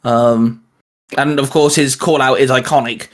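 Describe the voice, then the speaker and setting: A man's voice: medium pitch, steady tone, a little slow. A man co-hosting a casual fan discussion, talking in a rambling, informal, jokey way. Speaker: co-host of a cartoon fan channel. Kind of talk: casual chat, fan commentary.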